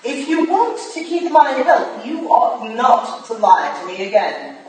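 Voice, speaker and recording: A woman's voice: fairly high pitch, very animated, unhurried, a little mellow. A woman playing a stern, shrewd Victorian investigator in a staged reading, commanding and accusing. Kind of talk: staged reading of a period drama. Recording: distant, very echoey room, faint noise.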